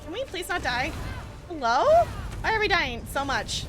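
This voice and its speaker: A woman live streaming a game, chatting casually with viewers in an expressive, playful way. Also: high-pitched, a little fast. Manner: expressive, playful exclamations and pleading.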